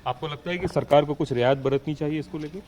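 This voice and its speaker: A man's voice: a little high, fast talker, a little mellow. Male field reporter, street noise. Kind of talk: on-location reporting and vox-pop interviewing.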